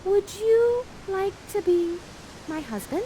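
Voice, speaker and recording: A woman's voice: very high voice, animated, slow pace, dark tone, voice pushed up, heavily acted. A woman voicing a lovestruck young maiden in a girlish, gushing stage manner, against a noisy background.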